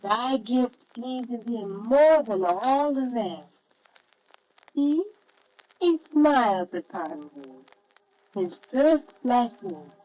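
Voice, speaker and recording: A woman's voice: fairly high pitch, animated, extremely slow, pauses often, mellow, dull audio. A woman playing a tender, gracious Holy Mother in an old-fashioned radio nativity drama, with solemn archaic diction. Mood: solemn, blessing.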